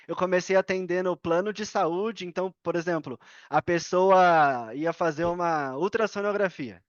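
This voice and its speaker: High-voiced Brazilian man telling his story. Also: no echo, lo-fi sound. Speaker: podcast guest. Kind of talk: casual interview storytelling.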